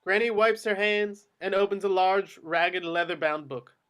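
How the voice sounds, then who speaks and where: Male narrator for a screenplay table read, fairly high-pitched, reading scene directions. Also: fairly even intonation, normal speed.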